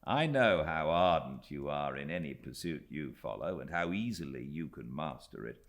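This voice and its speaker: Man playing an earnest young British gentleman, lively pitch. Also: normal speed, gravelly, heavily acted. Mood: earnest, amazed. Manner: sincere, eager. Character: earnest, admiring young gentleman, warm-hearted and innocent. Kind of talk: dramatised classic audiobook dialogue.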